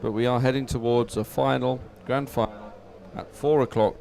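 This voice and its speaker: A man's voice: medium pitch, steady tone, normal speed, vocal fry, darkish tone. A man giving live, unscripted sports commentary in a chatty, hesitant, explanatory way.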